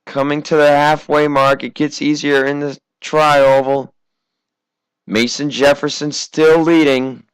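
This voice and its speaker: A teenage American man from Massachusetts giving casual, conversational amateur racing commentary, his voice slightly hoarse from a cough. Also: on the high side, little pitch movement, unhurried, no room sound, poor audio. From Massachusetts, United States. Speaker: race commentator.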